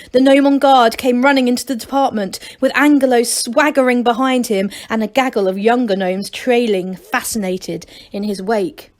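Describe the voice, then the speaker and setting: Fairly high-voiced woman reading a story. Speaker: story reader for a school. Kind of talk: expressive storybook narration.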